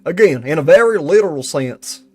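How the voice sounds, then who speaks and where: A man's voice: a little high, expressive, normal speed, dark tone, pitched above the performer's own voice. A man voicing a folksy cowboy employee with a Southern US accent and a theatrical drawl, reading out an investigation report. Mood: folksy, watchful. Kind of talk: character reading of a written report.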